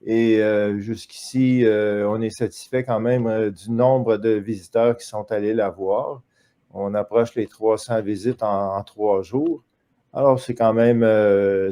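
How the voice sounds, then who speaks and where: A man with a Quebec French accent speaks slowly and deliberately, his voice dark and mellow. He lectures as an interview guest, pausing often with hesitations. The sound is that of a video call. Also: fairly low pitch. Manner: measured, many hesitations, lecturing. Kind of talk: live online interview, explaining history.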